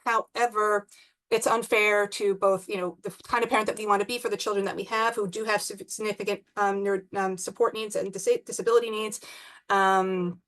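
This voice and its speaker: A woman with a New Zealand accent giving an online academic presentation on a video call, with many pauses and restarts. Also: mid-range voice, fairly even intonation, a bit bright. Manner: hesitant, many ums and restarts. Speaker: conference presenter.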